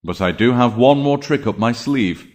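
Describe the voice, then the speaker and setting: An actor playing a calm, determined, quietly crafty deposed king in an audio drama, measured and resolute. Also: mid-range voice, natural voice. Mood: quiet determination.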